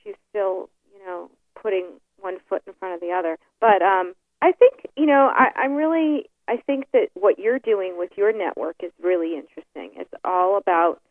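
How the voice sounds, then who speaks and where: A woman's voice: mid-range voice, expressive, normal speed, few pauses, dry recording. A woman guest in a casual interview, talking with lots of fillers and restarts over the phone. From New York. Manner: hesitant, many fillers and restarts. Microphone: telephone. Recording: tinny phone line.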